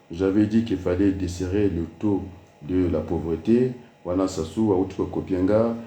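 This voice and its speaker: Deep-voiced Congolese man with dark tone. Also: reverberant, slight background noise. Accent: Congolese French. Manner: emphatic, with many fillers, mixes French and Lingala. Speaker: politician. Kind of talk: political address.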